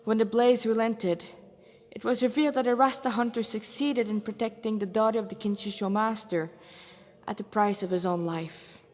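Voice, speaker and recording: A woman's voice: fairly high pitch, fairly even intonation, moderate pace, darkish tone. Woman narrating a story in a reverberant room. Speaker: fan fiction author and reader. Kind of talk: read-aloud story narration.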